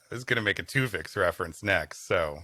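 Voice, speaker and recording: A man co-hosting a comedy podcast, riffing casually in an animated, mock-indignant way. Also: sits low, moderate pace, no room sound. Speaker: podcast co-host. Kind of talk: casual comic banter and riffing.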